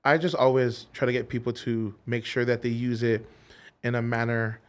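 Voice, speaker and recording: A man, a musician guest on a podcast, talking in a relaxed, easygoing way in casual interview chat. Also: mid-range voice, normal speed. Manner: relaxed, easygoing, loose phrasing.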